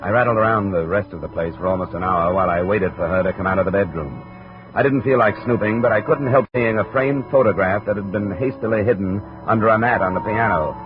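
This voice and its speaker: An actor playing a wry, hard-boiled American investigator, clipped and world-weary, in 1950s radio noir style. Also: male voice, lowish voice, fast, close to an everyday voice. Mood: reflective, narrating. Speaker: lead actor and narrator of a radio detective series. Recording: dull vintage radio recording with music.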